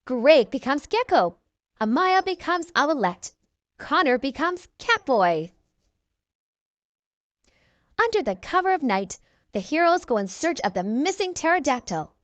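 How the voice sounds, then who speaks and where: A woman reading a children's storybook aloud in animated narration. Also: sits high, measured pace, pauses often, dry recording.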